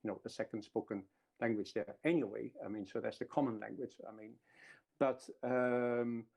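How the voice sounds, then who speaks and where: Man giving a mellow talk. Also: medium pitch, fairly even intonation, normal speed, creaky. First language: Dutch. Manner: explanatory, with frequent restarts. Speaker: university speaker. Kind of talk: webinar presentation.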